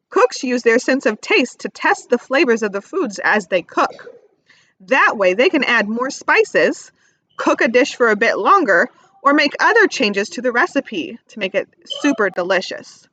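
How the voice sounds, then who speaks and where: A woman teacher reading aloud to young children, expressively stressing key words and pausing to ask simple questions. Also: a little high, pushed and bright, dry recording, rough recording. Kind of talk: read-aloud lesson with questions to young listeners.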